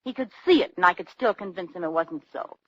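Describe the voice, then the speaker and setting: An actress playing the sharp-tongued, flirtatious wife of a trucking boss, with dry wisecracks in 1940s radio acting style. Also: female voice, on the low side, lively intonation, fast talker. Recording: muffled 1940s radio recording.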